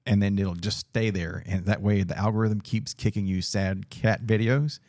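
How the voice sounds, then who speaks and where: Low-voiced profane joking man. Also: fairly even intonation, moderate pace, darkish tone, no echo, studio quality. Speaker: podcast host. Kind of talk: casual podcast banter.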